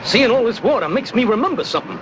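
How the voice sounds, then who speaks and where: An actor playing a naive, goofy comic sidekick in a 1970s TV cartoon. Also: male voice, extremely high pitch, animated, fast, background noise. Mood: naive, musing. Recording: music behind the voice.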